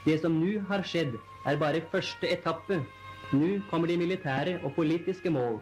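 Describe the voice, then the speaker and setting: A man's voice: fairly high pitch, rough recording. A man narrates a 1940s newsreel. His delivery is formal and declamatory, read from a script. It sounds like a vintage film soundtrack with music faintly behind him. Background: newsreel background music.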